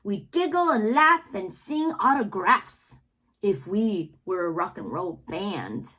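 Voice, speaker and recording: A woman reading poems aloud for children in a theatrical way, drawing out words and pausing between phrases. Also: mid-range voice, lively intonation, fairly bright tone. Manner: theatrical, drawn-out words with pauses. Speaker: read-aloud host, children's reader. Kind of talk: expressive poetry read-aloud for children.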